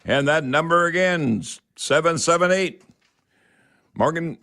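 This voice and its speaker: A man hosting live call-in radio in a folksy, halting, rambling way. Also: a little high, expressive, pushed and bright. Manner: folksy, addresses listeners as folks; halting, rambling delivery with many hesitations. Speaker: radio host.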